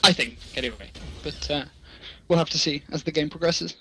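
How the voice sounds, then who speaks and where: A man's voice: on the high side, a little fast, fairly bright tone, faint music behind. A man giving live esports commentary, talking through the play conversationally and posing rhetorical questions.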